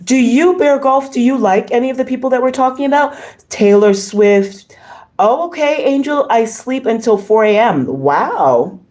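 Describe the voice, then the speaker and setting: Woman livestream host, dark relaxed tone, a little hoarse, chatting haltingly and effusively with viewers. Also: fairly high pitch. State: slightly hoarse. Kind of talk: casual livestream chat, reading viewer comments.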